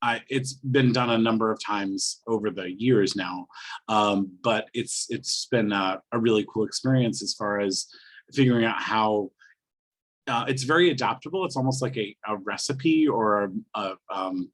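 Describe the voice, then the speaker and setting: A man talking casually as a guest playwright in an interview, halting as he searches for words. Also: lowish voice, poor audio.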